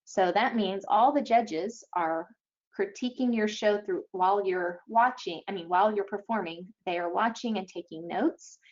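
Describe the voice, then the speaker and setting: A woman giving an explanatory webinar briefing as an event organizer, speaking haltingly with frequent pauses. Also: medium pitch. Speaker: event organizer presenting to schools. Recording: dull, lo-fi online webinar audio.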